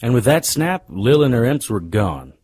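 A man playing a cocky, world-weary paranormal investigator, narrating a noir radio drama in a wry, deadpan way. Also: mid-range voice, normal speed, slightly rough, no echo. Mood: wry, cool.

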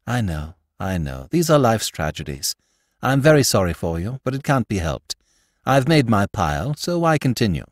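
Man playing a suave, animated gentleman thief. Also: lowish voice, moderate pace, dry recording, clean studio sound. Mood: consoling. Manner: articulate, teasing, assured.

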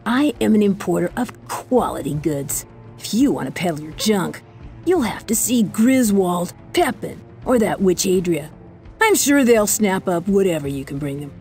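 A haughty young village trader from a fantasy video game. The delivery is sneering and condescending, with very lively pitch, over background music. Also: female voice, moderate pace. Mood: sneering, dismissive.

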